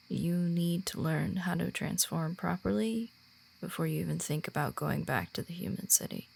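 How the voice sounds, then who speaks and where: A woman's voice: lowish voice, creaky, slightly rough, exaggerated voice. A woman voicing a friendly female werewolf who guides and reassures a new pack member in a confiding roleplay audio. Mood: reassuring. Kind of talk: roleplay audio drama in ASMR manner.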